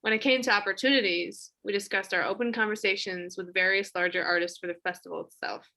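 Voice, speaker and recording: Brisk female office organizer, bright forceful voice, sarcastic and businesslike, comedy web series. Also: mid-range voice, steady tone. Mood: brisk, sardonic. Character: brisk, sardonic female office meeting leader.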